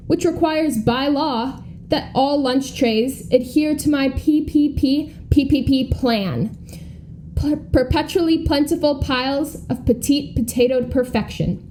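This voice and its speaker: A woman's voice: high-pitched, unhurried, a little mellow, slight background noise. A young American woman playing an earnest, polished schoolgirl running for student president, speaking like a formal politician in a comedy radio play. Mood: righteous. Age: young adult. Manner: formal, rhetorical politician delivery.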